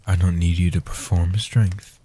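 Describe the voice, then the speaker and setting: A gentle, age-old vampire guardian acted by a man speaks softly in a comfort audio roleplay. The voice is low, dark and very breathy, often sinking toward a whisper. It soothes and protects with tender reassurance. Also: flat delivery, normal speed. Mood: tender, reassuring. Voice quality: breathy, husky, half-whispered.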